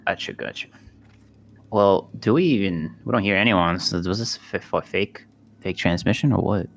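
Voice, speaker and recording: Deep-voiced man at a tabletop game. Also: moderate pace, creaky. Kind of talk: casual game chat, asking questions.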